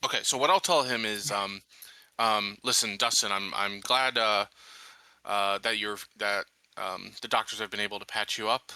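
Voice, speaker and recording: Male roleplayer, lowish pitch with a strikingly bright edge, hesitant off-the-cuff delivery, casual. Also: fairly even intonation, moderate pace, no echo, rough recording. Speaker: player in a tabletop roleplaying game.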